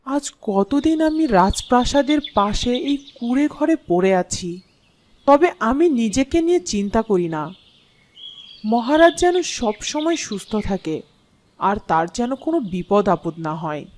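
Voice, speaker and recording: Selfless storybook queen, meek and earnest, lightly high, animated intonation. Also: measured pace, slight background noise. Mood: selfless sorrow. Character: humble, selfless, devoted elder queen. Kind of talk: Bengali fairy-tale cartoon acting.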